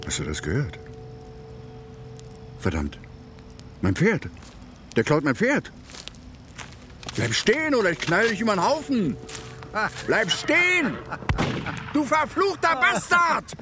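An actor playing a rough, boastful frontier Indian hunter telling war stories in a German radio drama. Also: male voice, high-pitched, huge pitch swings, slow, pauses often, music in the background, exaggerated voice. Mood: alarmed, furious. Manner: boastful, colloquial storytelling.

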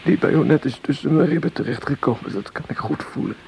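An actor playing a wounded, feverish young communard in a radio drama, speaking haltingly and groaning in pain. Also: male voice, mid-range voice, gravelly, a little mellow, natural voice. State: in pain, weak. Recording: noisy old radio drama recording.

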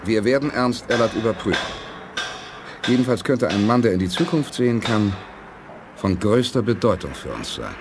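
An actor playing a self-assured, probing leader of a space-age base in a 1980s German radio play, authoritative and deliberate. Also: male voice, on the low side, animated, over loud music. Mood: confident.

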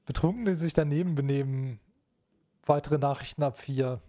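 Mellow-voiced wry co-host, male. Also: on the high side, normal speed. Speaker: co-host of a live online talk show. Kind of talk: casual chat.

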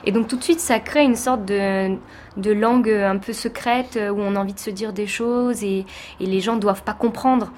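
A woman's voice: mid-range voice, fairly even intonation, normal speed, a little mellow, a little hiss or hum. A woman from France, a teacher, giving a reflective personal testimony in an interview, speaking thoughtfully with many hesitations.

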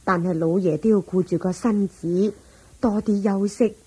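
An actress playing the devoted, flattering wife of a rich old master in a Cantonese radio drama, solicitous and deferential. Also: female voice, medium pitch, moderate pace, slightly rough, low-quality recording.

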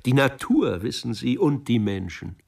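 Male narrator as older ex-officer, radio play, boastful, nostalgic, effusive, creaky voice, slightly distant mic. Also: mid-range voice, very animated, moderate pace, a little mellow. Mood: wistful, effusive.

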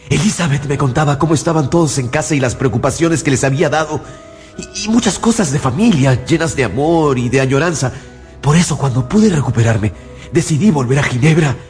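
Radio drama role: haunted young scientist , played by a man, agitated and halting, fairly high register, prominent score underneath. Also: lightly acted. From Geneva, as the figure. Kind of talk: radio drama acting and first-person narration. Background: music.

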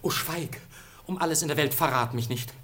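A man's voice: fairly high pitch, a little fast, fairly bright tone, close to an everyday voice. Male actor as melancholy young fugitive prince in radio play, heightened, declamatory, lyrical. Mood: urgent. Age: young adult. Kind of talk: classic German radio drama, heightened verse-like delivery.

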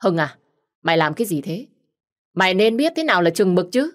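A woman's voice: on the low side, sing-song, a little fast. A woman voicing a young man who scolds his cousin with contempt. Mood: scolding.

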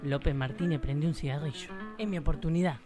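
A woman's voice: low-pitched, fast talker, close to an everyday voice. An actress playing a sly Argentine country woman who narrates and snoops, with a folksy, knowing way of talking. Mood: narrating. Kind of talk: live radio drama acting. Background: guitar music.

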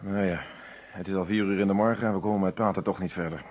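A man playing a composed, methodical police inspector in a Dutch radio play, speaking in a formal, measured way. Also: deep voice, fairly even intonation, darkish tone, slightly rough. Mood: stern, official. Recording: dull lo-fi old radio drama recording.

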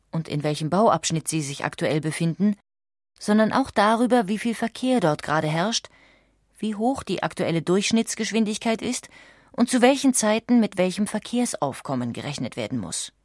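Female narrator, standard German, lowish pitch, dry sound, measured factual documentary read. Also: darkish tone, dry recording. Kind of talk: scripted documentary audiobook narration.

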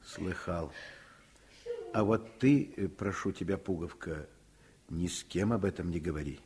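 A man's voice: sits low, measured pace, darkish tone, husky, exaggerated voice. An actor playing a principled doctor and caring father in a radio play, earnest and firm. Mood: gentle, grave. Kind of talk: Soviet radio play acting.

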